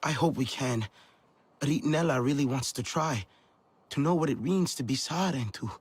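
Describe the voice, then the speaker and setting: An actor playing a young, earnest alien clan survivor who is searching and uncertain, in a game cutscene. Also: male voice, mid-range voice, moderate pace, husky, no echo, close to an everyday voice. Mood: earnest, subdued. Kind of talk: dramatic game cutscene acting.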